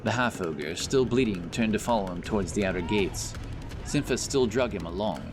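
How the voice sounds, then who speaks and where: Deep-voiced man narrating fantasy over music. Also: expressive, normal speed. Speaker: audiobook narrator. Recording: music bed behind the voice. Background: background music and effects.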